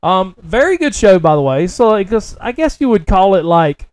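A middle-aged Southern American man with a fairly high voice chats casually on a podcast. He speaks haltingly, doubling back on words. The sound is dry and close. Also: big pitch swings, no room sound. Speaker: podcast co-host. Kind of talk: casual chat about racing and rodeo.

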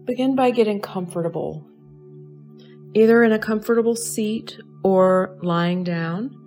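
A woman leading a guided meditation in a soothing, measured way, pausing between short phrases. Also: medium pitch, dark tone, faint music behind. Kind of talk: slow guided meditation narration.

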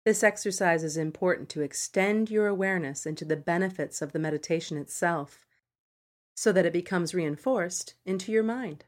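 A woman podcast host reading scripted meditation guidance in a measured, instructional manner. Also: lowish voice. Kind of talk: scripted narration of a meditation article.

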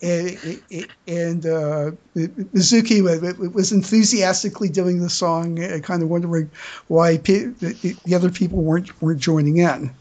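A podcast host talking through fan news casually, earnest and halting, often repeating words while searching for the next phrase. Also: medium pitch, a little mellow.

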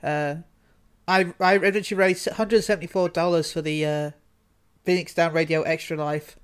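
A man's voice: a little high, steady tone, normal speed, clear, resonant tone. Male podcast co-host, easygoing, self-deprecating. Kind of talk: casual chat.

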